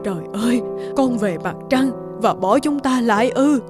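A woman's voice: fairly high pitch, moderate pace, over music, natural voice. A woman voicing a kindly, doting elderly wife and mother in a children's cartoon, emotive in delivery. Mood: sad. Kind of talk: Vietnamese children's cartoon dubbing.

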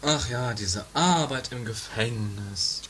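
A woman's voice: very deep voice, slow, a bit bright, slight background noise, full character voice. A woman voicing a muddled, grumpy, bumbling male prison warden in an improvised amateur comedy radio play. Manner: bumbling, hesitant, repetitive.

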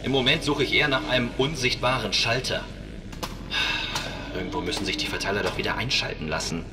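A man acts a steadfast captain in a sci-fi radio play. He is calm and resolute with a dry edge, in a medium male pitch. Music plays clearly behind him. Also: brisk, far from the mic, close to an everyday voice. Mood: dryly focused. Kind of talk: sci-fi audio drama acting and narration.

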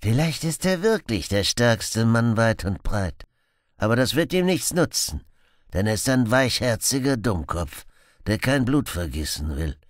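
A man's voice: mid-range voice, no room sound, studio quality, pitched above the performer's own voice. A man voicing a boastful, murderous outlaw in an audiobook, grim, menacing and full of contempt. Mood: scornful bragging. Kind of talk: audiobook character acting.

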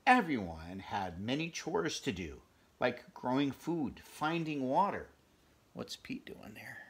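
A man in his fifties with a gravelly voice reads a picture book aloud, adding chatty, conversational asides for young listeners. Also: medium pitch, big pitch swings, slow pace, fairly bright tone. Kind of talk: children's read-aloud with casual asides. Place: small furnished bedroom, indoors.

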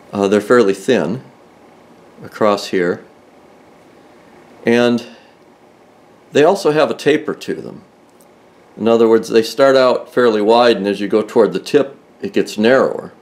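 Older man explaining tools, unhurried. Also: lowish voice, darkish tone. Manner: plain, methodical, restarts mid-sentence while explaining. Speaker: car restoration video host. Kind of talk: hands-on tool demonstration. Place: inside a car, in a garage.

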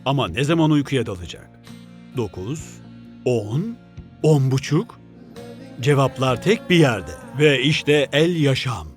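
Man playing portentous narrator over loud music. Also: medium pitch, expressive, unhurried, close to an everyday voice. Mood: suspenseful. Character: dramatic male reality-show narrator. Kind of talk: dramatic scripted narration.

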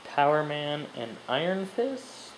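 Slow-paced collector listing items, noisy recording. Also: medium pitch, sing-song. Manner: short clipped announcements with long pauses. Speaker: collector showing purchases. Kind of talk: casual comic haul show-and-tell, listing titles. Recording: background noise, amateur camera microphone.

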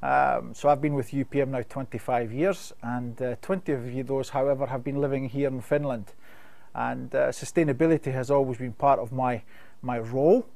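Brief: middle-aged Scottish man, webinar guest, halting, filler-heavy delivery, dead dry sound. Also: mid-range voice, creaky, a little mellow, no room sound. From Scotland. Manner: measured, many fillers and restarts. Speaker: company sustainability expert. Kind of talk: webinar Q&A answers.

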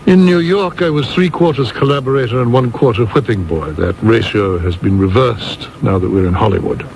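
An actor playing an urbane, wry film and theatre producer, articulate, formal and dry, in a radio play. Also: male voice, medium pitch, fairly quick, gravelly, darkish tone, slight background noise, close to an everyday voice, poor audio. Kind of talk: radio drama dialogue and monologue. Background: music.

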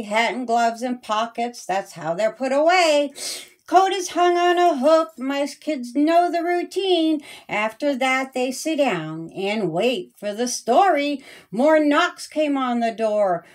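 A woman narrating a rhyming children's story in a deliberate, sing-song manner, stretching the ends of phrases. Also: high voice. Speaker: children's author reading her own story.

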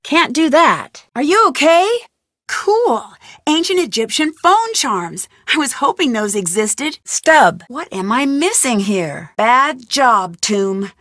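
Curious young girl detective, bright pitch, animated delivery, dry booth sound. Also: slow, no echo, full character voice. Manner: thinking aloud, inquisitive, lightly wry. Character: young female amateur detective, curious and determined. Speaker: video game protagonist. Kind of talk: studio game voice lines. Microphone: close studio mic.

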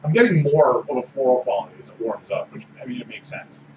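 A man chats about a beer on a podcast, reflective and animated. He is picked up in a roomy space from some distance away. A clear, steady hiss sits under a dull recording. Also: mid-range voice, quick pace. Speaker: podcast co-host. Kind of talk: casual beer-tasting chat. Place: small room with orange walls. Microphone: desk mic on boom arm.

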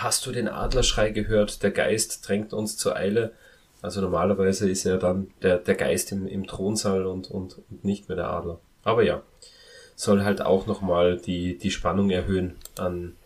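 A man co-hosting a podcast, casually reviewing an audio drama in a halting way, repeating words as he thinks. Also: low voice. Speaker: podcast co-host.

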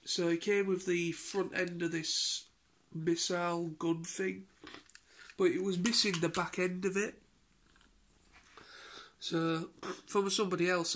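A man's voice: sits high, a bit bright. A man in his thirties with a British accent, tired and stuffed up from a cold, rambling casually in a daily vlog from his living room with a phone held at arm's length. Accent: British English. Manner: rambling and halting, many restarts and fillers. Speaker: vlogger. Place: living room at home, indoors. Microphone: phone camera held at arm's length.

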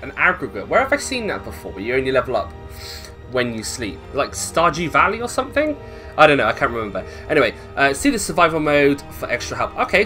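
Lively, bright-voiced man doing game commentary. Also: mid-range voice. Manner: chatty, thinking aloud, self-questioning, casual swearing. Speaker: gamer narrating a let's play. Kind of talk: casual gameplay commentary.

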